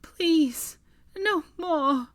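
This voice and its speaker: A woman's voice: sits high, normal speed, a little mellow, husky, voice pushed up. A woman voicing a tearful young woman who pleads and cries out in desperation. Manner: tearful, pleading, rising to shrieks.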